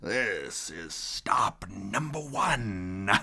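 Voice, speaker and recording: A man voicing a sour, scheming old storybook creature, snarling and hissing in a drawn-out, menacing way. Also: fairly low pitch, big pitch swings, sharp tone, slightly rough, pitched below the performer's own voice, exaggerated voice. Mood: hissing menace. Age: fifties. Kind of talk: storybook character voice.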